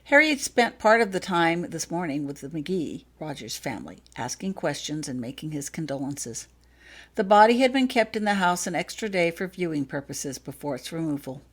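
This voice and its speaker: A woman's voice: low-pitched, very animated, moderate pace. A woman reading a story aloud as a scripted audiobook narration. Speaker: author reading own fiction.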